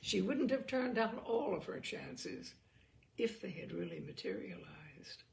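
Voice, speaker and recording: Gravelly woman reading literary fiction. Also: mid-range voice, expressive. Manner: measured, dramatic phrasing with hushed asides. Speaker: narrator reading a short story aloud. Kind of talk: expressive literary audiobook narration.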